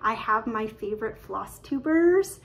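A woman, chatting excitedly and quickly about her craft projects from her living room, filled with "um"s and little laughs. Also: on the high side. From Canada. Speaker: cross-stitch vlogger. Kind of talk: casual show-and-tell chat. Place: living room at home, indoors. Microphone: webcam at desk distance.

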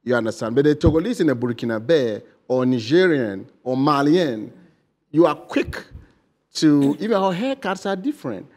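A man's voice: on the high side, big pitch swings, moderate pace, darkish tone. A man in his forties with a Ghanaian accent, a political hopeful answering interview questions earnestly, with many fillers and restarts, in a TV studio on a lapel mic. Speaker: political candidate guest. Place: bright TV studio.

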